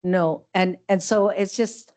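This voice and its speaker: A woman's voice: mid-range voice, steady tone, slow, a little mellow. An older woman speaking from the public gallery at a council meeting, with a big voice, talking in a rambling, hesitant way full of restarts. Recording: dull lo-fi council chamber mic.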